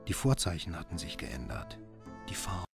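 A man acting a brooding husband and father who tells his story in a radio drama, grave and measured. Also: deep voice, sing-song, gravelly, over loud music, full character voice. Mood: grave, foreboding. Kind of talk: radio drama narration and dialogue.

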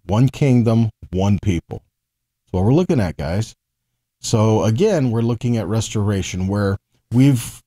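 A man's voice: medium pitch, dark tone, no room sound, studio quality. A middle-aged man teaching the Bible informally to camera, conversational and folksy, often checking in with his listeners. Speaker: Bible teacher and ministry host.

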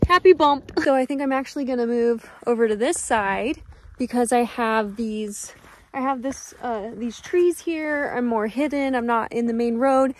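A woman's voice: fairly high pitch, moderate pace, a little mellow, slight background noise. A young woman in her twenties, vlogging with a phone at arm's length outdoors, chatty and enthusiastic. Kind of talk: casual vlog narration. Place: outdoors in desert and in a shop.

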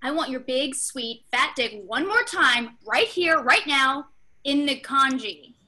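A woman reads comic parts with a brash, bawdy edge at a table read. Her voice is high, bright and forceful, and her pitch swings widely. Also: expressive, takes it slowly. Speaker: actor reading several roles.

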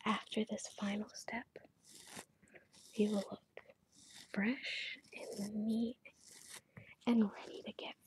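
A woman speaking in a breathy, gentle, unhurried whisper as a salon pedicurist in an ASMR roleplay, pampering a client. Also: mid-range voice, little pitch movement, slight background noise, rough recording. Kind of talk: soft-spoken ASMR roleplay.

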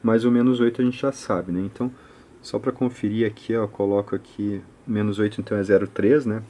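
A man with a Brazilian accent giving an informal hands-on technical tutorial, speaking haltingly with frequent short check-in tags. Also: on the low side, steady tone, warm tone. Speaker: electronics repair channel host. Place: indoor home electronics workbench.

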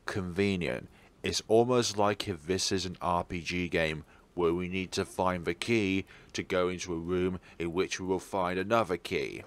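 A man's voice: deep voice, steady tone, moderate pace. A man doing let's play commentary on a visual novel, with dry, sarcastic asides. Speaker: let's player reading a visual novel aloud. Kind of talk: gameplay commentary and dramatic read-aloud.

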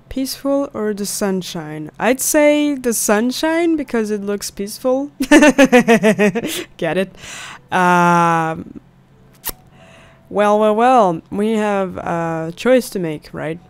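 A woman's voice: mid-range voice, animated, drawn-out words, pauses often, smooth. A young woman giving casual let's play commentary, musing aloud as she plays, close to a studio mic. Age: young adult. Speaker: gaming video host. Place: indoors at home, seated close to mic. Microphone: studio condenser on stand. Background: game music.

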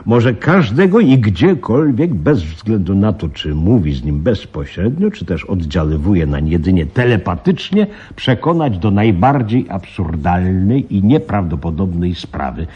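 An actor playing a flustered, grave veteran police commissioner in a radio play, husky and halting, repeating himself. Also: male voice, low-pitched, sing-song, dark tone, exaggerated voice. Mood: grave, intent. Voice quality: husky, breathy. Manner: halting, muttering, repeats himself, dramatic emphasis. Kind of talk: Polish radio play acting. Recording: slightly dull radio play recording.

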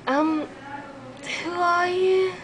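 A woman's voice: very high-pitched, fairly even intonation, drawn-out words, pitched above the performer's own voice, heavily acted. A woman voicing a plucky, lonely little girl, blunt and curious, rambling hesitantly in an amateur comic puppet show. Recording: noisy homemade camera recording.

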